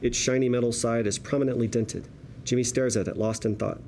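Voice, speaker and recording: A man with a dark, mellow voice of middling pitch narrates a script. He reads the stage directions at a table read, with faint noise behind him. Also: steady tone, fairly quick. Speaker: narrator reading screenplay directions.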